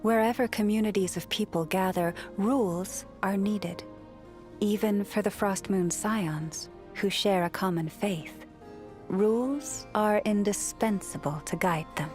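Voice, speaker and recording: A woman voicing a gentle, serene moon priestess in a video game, speaking softly and soothingly with a reverent manner. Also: mid-range voice, faint music behind, lightly acted. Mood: calm, reflective. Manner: soft, soothing, unhurried, reverent. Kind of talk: scripted video game character voice lines.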